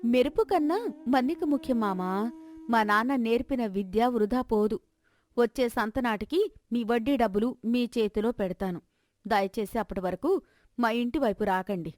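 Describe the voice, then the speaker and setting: A woman's voice: fairly high pitch, normal speed, a little mellow, no echo, natural voice. A woman voicing a brave, respectful young village daughter, earnest and firm, in a folk tale. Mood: determined. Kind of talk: acted folk-tale dialogue.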